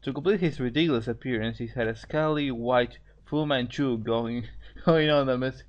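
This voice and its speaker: Male amateur narrator, medium pitch, casual story reading, halting delivery, repeated phrases. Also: dull audio. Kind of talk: amateur fan fiction reading with casual banter.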